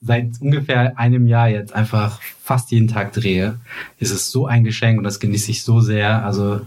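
A man's voice: fairly low pitch, steady tone, moderate pace, darkish tone. A man in his thirties, not a native German speaker, chatting casually as a podcast guest and teasing with mock-dramatic exaggeration.

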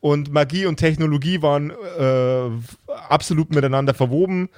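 Male game master for casual podcast talk, slightly raised pitch, halting delivery with repeated word starts, dry sound. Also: a little mellow, no echo. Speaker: game master of an actual-play role-playing podcast.

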